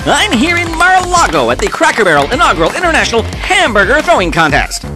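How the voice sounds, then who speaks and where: An excitable parody sports commentator , played by a performer, with a high and very animated voice. The play-by-play is florid and dramatic, with music running underneath. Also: fast talker. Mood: announcing. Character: excitable mock sports desk reporter.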